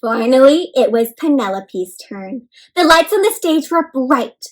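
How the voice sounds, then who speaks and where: Very animated, high-voiced woman reading to kids. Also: measured pace. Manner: expressive, gasps and asks listeners questions; addresses young children in simple, encouraging words. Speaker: read-aloud host. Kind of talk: interactive children's storybook read-aloud.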